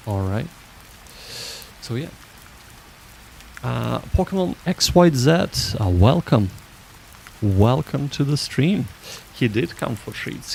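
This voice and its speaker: A man hosting a livestream, chatting casually with viewers in a halting, rambling way that often restarts sentences. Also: mid-range voice, creaky, darkish tone, slight background noise, poor audio. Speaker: livestream host.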